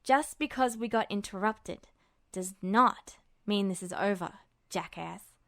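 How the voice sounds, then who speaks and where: A woman's voice: mid-range voice, a little slow, a bit bright, no echo, clean studio sound, pitched below the performer's own voice. A woman voicing a brash, hot-tempered young man, blurting his words emphatically in an audiobook. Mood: dark grumbling. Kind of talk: audiobook character voice.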